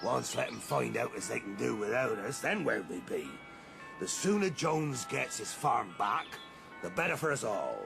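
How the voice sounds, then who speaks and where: A man's voice: medium pitch, big pitch swings, normal speed, creaky, music in the background. A man playing a blustering, suspicious farmer with a rural English accent in a radio drama. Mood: scheming. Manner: rustic, emphatic, grumbling.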